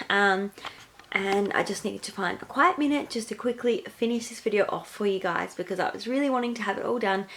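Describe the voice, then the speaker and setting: Chatty woman with a nasal cold. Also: a little high. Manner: chatty, many fillers like "um" and "like". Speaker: astrology vlogger. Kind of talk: casual explanatory reading. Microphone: handheld camera.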